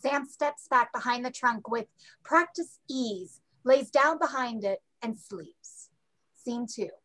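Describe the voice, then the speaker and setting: A woman's voice: on the high side, takes it slowly, fairly bright tone, rough recording. A woman reading stage directions as scripted narration for a play reading over a video call.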